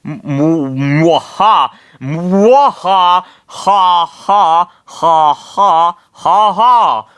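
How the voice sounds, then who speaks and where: A man's voice: on the high side, animated, takes it slowly, a bit bright, clear, resonant tone, pitched above the performer's own voice. A man voicing a pompous, scheming villainous commander who makes menacing threats and laughs maniacally.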